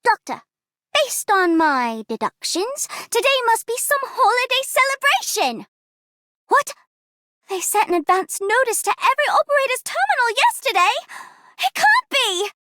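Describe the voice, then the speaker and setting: A bubbly game detective with a high voice that leaps all over. She is theatrical and exclamatory, treating everything as a grand deduction, in a close, dry sound. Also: big pitch swings, measured pace, pushed and bright, no room sound, heavily acted. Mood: excited, dramatic. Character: excitable female amateur detective game operator, bubbly and dramatic. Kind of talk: English video game character voice lines.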